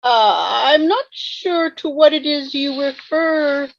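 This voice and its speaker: Woman playing a pompous ghost commander, slow and high. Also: vocal fry, a little hiss or hum, heavily acted. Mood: formal, affronted. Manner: formal, pompous, archaic phrasing. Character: pompous male ghost, old-fashioned military commander rallying troops. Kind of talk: online table read of a sitcom script. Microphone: video call. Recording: dull, roomy video-call sound.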